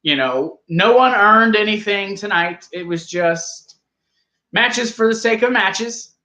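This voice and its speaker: A man's voice: sits high, expressive, slow, sharp tone, reverberant. A man casually reviewing a wrestling show, rambling and joking as he argues with his co-host. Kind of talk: casual live review chat.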